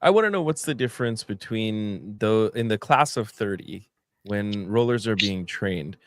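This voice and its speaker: Low-voiced casual man asking questions. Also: expressive, normal speed. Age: 30s. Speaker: co-host. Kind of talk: casual livestream interview.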